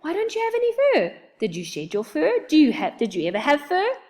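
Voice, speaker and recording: Woman as storybook jackalope girl, hyperactive, chattering, swooping high, rapid-fire questions. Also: huge pitch swings, a little mellow. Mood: excited, curious. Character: hyperactive chatterbox young female jackalope camper.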